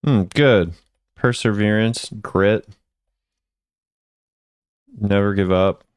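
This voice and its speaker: A man's voice: lowish voice, drawn-out words, clean tone, dry recording, clean studio sound. A man hosting a gaming video, giving laid-back, off-the-cuff commentary as he thinks aloud. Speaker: video host ranking game voice lines.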